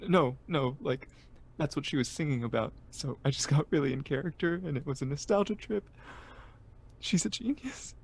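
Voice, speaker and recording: Sensitive male college student for an actor: gravelly, slightly high, weepy, radio drama delivery. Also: normal speed. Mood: tearful, moved. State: tearful, sniffling. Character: Bebo, sensitive sniffly college-student superfan.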